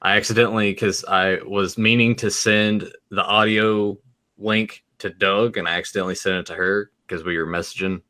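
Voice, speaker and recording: A man's voice: fairly low pitch, steady tone, moderate pace, pushed and bright. A man with a Southern American accent, co-hosting a podcast in a rambling casual chat full of restarts. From Southern United States. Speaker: podcast co-host.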